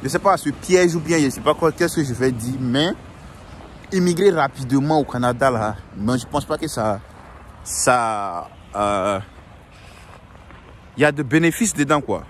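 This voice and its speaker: A man's voice: medium pitch, animated, measured pace, pauses often, slight background noise. A young man giving earnest, repetitive advice in a casual monologue, often restarting his sentences.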